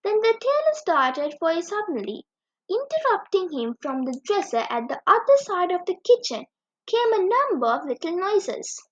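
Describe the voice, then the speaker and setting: A woman reading a children's story aloud as a storyteller, with expressive, animated delivery. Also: extremely high pitch, normal speed. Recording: dull lo-fi recording.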